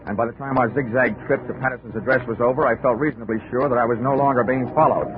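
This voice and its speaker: An actor playing a wisecracking hard-boiled insurance detective who narrates and speaks in a dry, sardonic way, in 1940s radio drama style. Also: male voice, medium pitch, steady tone, quick pace, noisy background, close to an everyday voice. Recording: tinny old radio broadcast with music.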